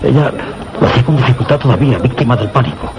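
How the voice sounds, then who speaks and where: An actor with a Castilian accent playing a meteorologist who narrates frightening events in measured, formal radio theatre style. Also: male voice, medium pitch, animated, a loud crowd, natural voice. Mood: ominous. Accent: Castilian Spanish. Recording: noisy old radio drama recording.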